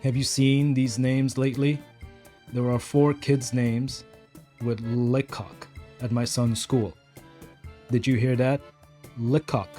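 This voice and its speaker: Man playing a mellow-voiced, defensive, fed-up guy. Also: mid-range voice, natural voice. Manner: blunt, defensive, rambling confession. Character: defensive, fed-up, bigoted male security guard, a father. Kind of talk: dramatic monologue in an audio story.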